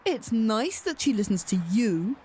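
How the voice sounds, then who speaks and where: A woman's voice: fairly high pitch, sing-song, measured pace, mellow, soft background music. A woman playing a strained, apologetic but firm stepmother in a horror radio drama. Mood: stern, frustrated.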